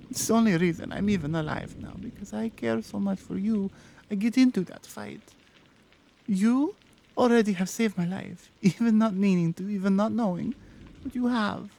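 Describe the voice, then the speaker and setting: A woman voicing a devoted, quietly dangerous Slavic mafia boss in intimate ASMR roleplay, with a Slavic accent and halting, murmured phrasing. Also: mid-range voice, gravelly, slight background noise.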